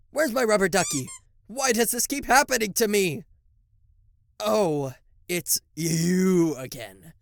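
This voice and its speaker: A man's voice: high-pitched, dry recording, clean studio sound. A man playing a cocky, easily flustered king of hell in an ASMR roleplay, sarcastic, indignant and theatrical, speaking to the listener. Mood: startled, exasperated.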